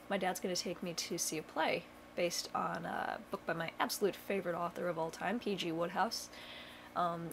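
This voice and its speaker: Rambling chatty young woman, gravelly edge. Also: on the low side, slight background noise. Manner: rambling, chatty, with many fillers; dry self-mocking humor. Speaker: vlogger. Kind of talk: casual chat to camera. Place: home bedroom.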